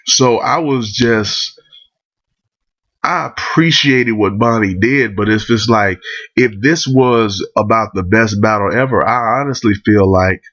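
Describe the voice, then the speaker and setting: A man's voice: on the low side, a little slow, a bit bright, lo-fi sound. A man with a cold, rambling bluntly through his opinions in a casual monologue. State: catching a cold. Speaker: vlogger giving battle rap opinions.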